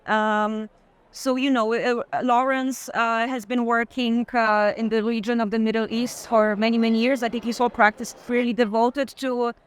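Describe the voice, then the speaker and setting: Woman art tour co-host, smooth and resonant, measured, slightly hesitant. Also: fairly high pitch, flat delivery. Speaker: art curator co-leading a tour. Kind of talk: measured live tour conversation. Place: art fair, walking.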